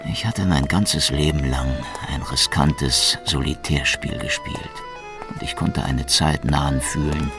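Gravelly, very deep-voiced cynical secret policeman. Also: a little slow, over music. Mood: brooding, dry. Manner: sardonic, dry. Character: cynical, world-weary male secret police investigator in 1930s Russia. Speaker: actor and narrator in a radio play. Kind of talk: noir radio drama, hard-boiled first-person narration.

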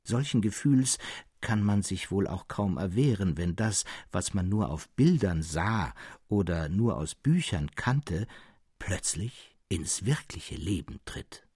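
A man narrates literary fiction in a lowish, husky voice. His reading is scripted, measured and dramatic. The recording is dry and close, without room sound. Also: animated, a little mellow, no echo. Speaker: narrator, reader.

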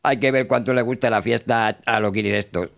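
A man acts out an aggrieved old former homeowner in an audio drama. He grumbles and complains like a cranky squatter passing himself off as a ghost, at a middling pitch. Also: fairly even intonation, a little fast, gravelly, a bit bright. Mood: indignant, grumbling. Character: grumbling evicted old male owner posing as a ghost.

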